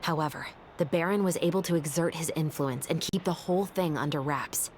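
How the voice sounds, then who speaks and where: A woman voicing a calm, composed female officer in a video game, speaking in a steady, matter-of-fact way. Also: sits low, brisk, a little hiss or hum, lightly acted. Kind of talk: game voice acting.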